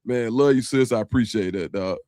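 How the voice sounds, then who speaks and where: Slangy male host reading shout-outs. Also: fairly low pitch, a little fast, no echo, clean studio sound. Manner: relaxed and grateful, slangy, calls people dog and bro. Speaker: show host reading super chats. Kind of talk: casual live-stream chat.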